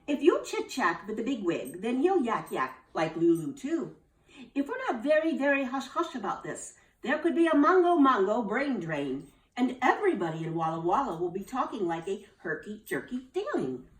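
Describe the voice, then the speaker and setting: A woman voicing a calm, knowing female school nurse with a measured, matter-of-fact manner. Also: a little high, recorded from a distance, pitched above the performer's own voice. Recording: roomy, from off the mic.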